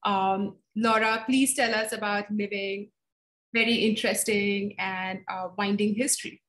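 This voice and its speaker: A woman's voice: mid-range voice, fairly even intonation, a little slow, a bit bright. A woman host introducing a guest speaker on a video call with a gracious, formal welcome. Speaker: series host.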